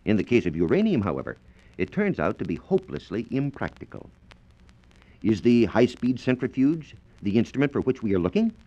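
A dull, lo-fi 1940s transcription disc carries an American man's low voice. He explains science as a formal, carefully worded radio script, with lively rises and falls in his intonation. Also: a little fast. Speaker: science reporter and presenter.

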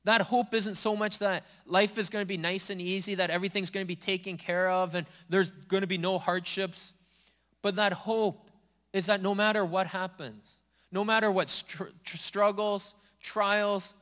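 Pastor, male, higher register, earnest repetitive preaching in a church hall with a long echo. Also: fairly bright tone. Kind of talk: sermon. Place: church sanctuary.

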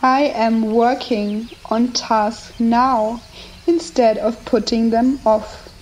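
Very slow-paced woman guiding a meditation. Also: fairly high pitch, darkish tone, slight background noise, poor audio. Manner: measured, short phrases with long pauses. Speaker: guided meditation narrator. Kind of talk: slow scripted guided meditation with affirmations. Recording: nature ambience behind the voice. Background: nature and ambient sounds.

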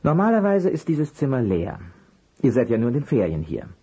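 A man playing a polite, persistent private detective in an audio drama, with a husky voice and a courteous, probing way of asking. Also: mid-range voice, huge pitch swings, brisk, warm tone, exaggerated voice. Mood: probing, thoughtful. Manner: courteous, probing, hesitant at times. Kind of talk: German audio drama acting.

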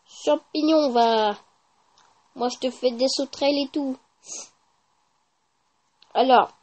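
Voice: high-pitched, slow pace, darkish tone. A gaming YouTuber giving casual, informal gameplay commentary with slang and swearing.

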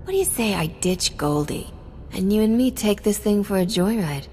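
A woman's voice: mid-range voice, expressive, moderate pace, warm tone, a little hiss or hum, natural voice. A woman playing a cocky, sarcastic smuggler in a video game, with a wry, sassy delivery. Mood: teasing.

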